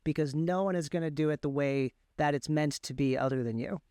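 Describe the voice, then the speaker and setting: A man with a fairly high, very clear voice talks through practical details as an interview guest. He is emphatic and often doubles back to qualify what he says. The sound is dry and close. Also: brisk, darkish tone, no room sound. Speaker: guest, indie author and audiobook narrator. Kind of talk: explanatory interview talk.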